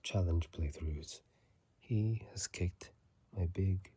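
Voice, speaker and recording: A video host whispering softly in a breathy, hushed voice, reading aloud and adding casual commentary in an ASMR style, close to a phone mic. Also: sits low, slow pace. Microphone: phone mic held close.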